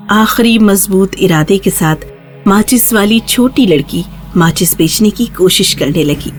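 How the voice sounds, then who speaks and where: A woman's voice: mid-range voice, a little mellow. A woman narrating a scripted fairy tale for children as the storyteller.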